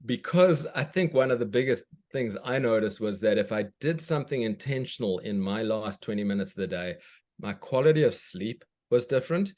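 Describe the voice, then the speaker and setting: A man in his forties from South Africa talks in a low-pitched, thoughtful way. He pauses and restarts often as he works through ideas. His speech is an unhurried conversational reflection. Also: animated, darkish tone. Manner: thoughtful, searching, with frequent restarts. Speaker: guest, children's book author.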